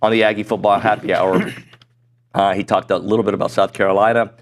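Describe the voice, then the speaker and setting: A middle-aged man hosting a sports talk show in a studio, chatty and self-deprecating, his voice a little hoarse. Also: on the low side, level tone, fairly quick. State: voice going hoarse. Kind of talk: casual sports talk chat. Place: broadcast studio.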